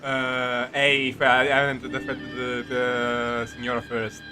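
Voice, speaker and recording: A man giving casual, halting, sweary reaction commentary as he plays a video game, sounding exasperated. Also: mid-range voice, monotone. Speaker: game streamer. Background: game music.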